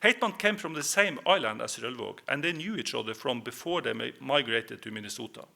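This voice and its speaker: Man, Norwegian accent, Norwegian native speaker, history lecture, measured delivery, crisp tone, gravelly edge. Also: mid-range voice, lively intonation, pushed and bright. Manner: measured, reads with hesitant fillers. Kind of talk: historical presentation.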